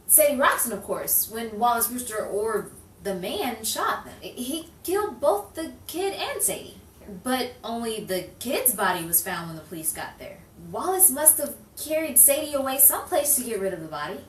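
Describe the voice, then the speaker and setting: A woman's voice: fairly high pitch, very animated, normal speed. Woman acting a persistent noir reporter, roomy distant sound. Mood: probing. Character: persistent, persuasive female newspaper reporter in a noir story. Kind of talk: improvised noir radio-play acting. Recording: roomy, distant mic with faint noise.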